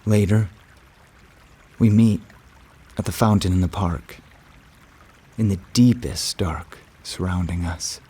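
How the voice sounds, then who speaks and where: An actor playing a haunted man who confides a dark secret in a halting, deliberate horror monologue, with repeated broken phrases. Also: deep voice, slightly rough, exaggerated voice. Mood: brooding. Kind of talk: slow, scripted dramatic monologue for an audio horror drama. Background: music.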